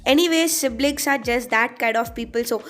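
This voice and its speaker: A woman's voice: on the high side, moderate pace, a bit bright, faint music behind. A teenage girl from India with an Indian English accent, whose first language is Telugu, giving earnest, chatty study advice in a vlog. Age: teens. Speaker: student vlogger.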